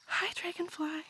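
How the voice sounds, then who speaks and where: A woman's voice: pushed and bright, slight background noise. A woman playing an indignant, chatty eleven-year-old girl who is easily delighted, in a theatrical monologue.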